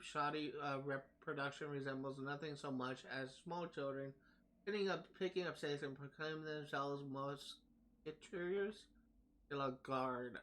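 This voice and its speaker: A man reading in-game text aloud on a gaming livestream, haltingly, often stumbling over words and names. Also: fairly high pitch, a bit bright, rough recording. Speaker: livestream host.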